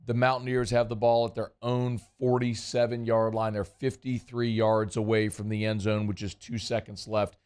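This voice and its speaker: Lower-voiced male sports radio host, voice worn. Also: flat delivery, normal speed, few pauses, a little mellow, smooth. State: voice worn and strained. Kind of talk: casual sports talk radio. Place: radio studio.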